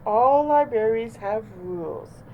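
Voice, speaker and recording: A stern yet kindly lady librarian from a picture book, as a woman reads her aloud. She speaks with slow, measured authority, with noticeable background noise. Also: on the high side, animated, narrow, tinny audio, voice pushed up. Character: stern but kindly female storybook librarian.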